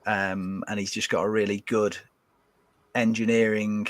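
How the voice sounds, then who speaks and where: A man's voice: fairly low pitch, level tone, unhurried, no echo. A man chatting casually as a podcast guest, rambling with many fillers and restarts. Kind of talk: casual chat.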